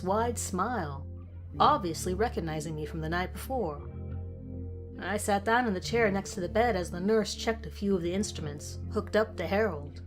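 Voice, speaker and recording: Woman reading a scary story, normal pitch, music pretty present behind her. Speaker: horror story narrator. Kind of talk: scripted narration of a creepy first-person story.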